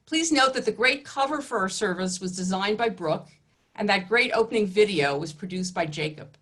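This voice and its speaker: A woman leading an online religious service, giving calm, formal welcoming remarks over a home video call. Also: medium pitch, normal speed. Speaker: rabbi or educator leading a service.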